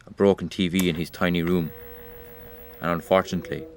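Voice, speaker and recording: A man's voice: deep voice, flat delivery, brisk, slight background noise, lightly acted. A man playing Rain as a weary observer of humanity, addressing the listener directly in a wry, reproachful audio drama monologue. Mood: wry, sombre.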